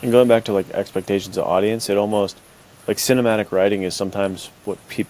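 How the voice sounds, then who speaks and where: A man's voice: lowish voice, fairly even intonation, fast, a little mellow, rough recording. A man chatting casually as a podcast host, with an informal, slangy way of talking. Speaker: podcast host and fiction writer. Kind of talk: casual chat. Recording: clear background hiss.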